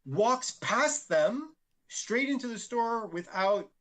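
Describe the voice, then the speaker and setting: A man's voice: very high voice, animated, slow pace, fairly bright tone. A man co-hosting a podcast, telling a rambling everyday story in casual chat and restarting his sentences often. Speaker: podcast co-host.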